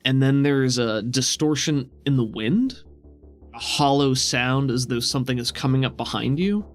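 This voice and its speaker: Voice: medium pitch, normal speed, faint music behind. A game master narrating a tabletop role-playing game in a casual way and bantering with the players.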